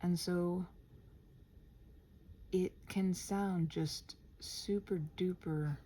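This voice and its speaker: A woman in a casual, improvised stream-of-consciousness ramble, halting and interrupting herself, often slipping toward a half-whisper, with a gentle encouraging manner. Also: fairly low pitch, steady tone, slight background noise. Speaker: host of an improvised ramble audio for body doubling.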